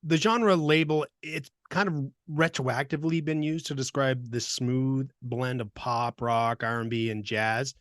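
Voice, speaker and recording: A man's voice: mid-range voice, steady tone, a little slow, hardly any pauses, fairly bright tone, dry recording, clean studio sound. A man in his fifties from Vermont, co-hosting a casual podcast with a jokey, chuckling manner. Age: 50s. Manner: jokey, chuckles often between points. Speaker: podcast co-host.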